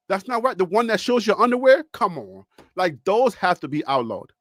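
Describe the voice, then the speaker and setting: A man from Liberia, hosting a live call-in show in a preachy, animated way and reading the chat. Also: high-pitched, no room sound, clean studio sound. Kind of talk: casual livestream talk.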